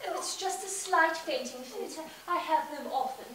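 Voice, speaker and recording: A woman's voice: extremely high pitch, normal speed, a bit bright, a little hiss or hum. A woman playing a genteel young heiress with a well-bred, dramatic manner, recorded far from the mic in an echoey room.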